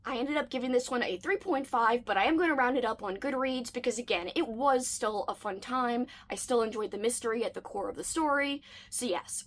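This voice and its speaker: Chatty woman with a slightly worn voice. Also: on the high side. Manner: chatty and enthusiastic, with playful asides. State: slightly losing her voice. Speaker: book reviewer. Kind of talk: casual reading wrap-up chat.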